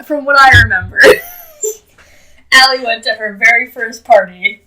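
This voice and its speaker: A woman's voice: fairly high pitch, unhurried, recorded from a distance, crowd noise, rough recording. A young woman co-hosting a podcast in casual, giggly, teasing chat, sounding a little way off from the microphone. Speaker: podcast co-host.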